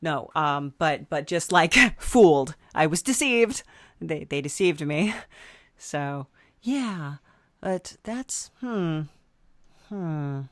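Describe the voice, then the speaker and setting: A woman's voice: sits low, animated, takes it slowly, fairly bright tone, no echo. A woman hosting a podcast, interviewing in a dry, playful, rambling way with many fillers. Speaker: podcast host. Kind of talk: improvised comedy interview.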